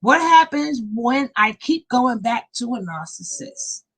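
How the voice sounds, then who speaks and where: A woman hosting a casual live panel stream over a video call, animated and laughing often. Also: fairly high pitch, measured pace, slightly rough. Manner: animated, laughing, talks up a storm.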